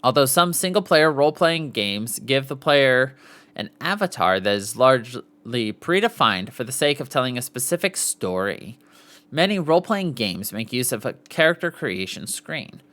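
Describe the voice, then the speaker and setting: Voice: mid-range voice, big pitch swings, moderate pace, fairly bright tone. A podcast host reading an article aloud in a formal way, with the odd stumble and brief aside.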